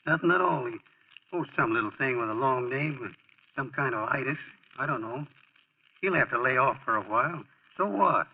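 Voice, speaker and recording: Loyal folksy friend, slangy, husky, 1940s radio drama style, dull old broadcast sound. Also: male voice, medium pitch, lively intonation, moderate pace. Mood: nervous bluffing. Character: loyal sportswriter friend, folksy, reassuring, protective man. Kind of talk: 1940s radio acting, dialogue and narration.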